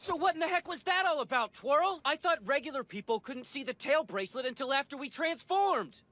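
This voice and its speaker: An actor playing an excitable, earnest teenage hero in an English anime dub. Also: male voice, extremely high pitch, a little fast, slight background noise, heavily acted. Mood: puzzled, excited.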